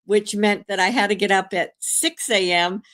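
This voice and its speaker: An older woman, a grandmother, hosting a live stream in rambling, casual chat, hoarse from a cold, with many fillers and restarts. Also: mid-range voice, fairly even intonation, normal speed, a bit bright.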